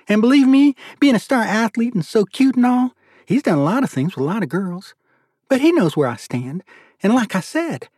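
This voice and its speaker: An eager teenage girl, voiced by a male narrator in an audiobook, pitched well up. She sounds girlish and sassy, gushing and playful, in a dry, close recording. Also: a little mellow, breathy edge, no echo, full character voice.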